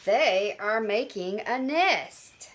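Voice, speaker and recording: A woman's voice: medium pitch, lively intonation, drawn-out words, a little hiss or hum. A woman voicing a cheerful, matter-of-fact storybook pig with simple, emphatic delivery.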